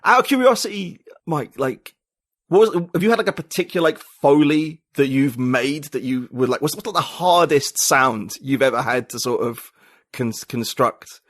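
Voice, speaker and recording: A man's voice: mid-range voice, normal speed, a bit bright, dry recording, clean studio sound. A man speaking as a podcast guest in a casual conversation, rambling and full of "like" and "you know".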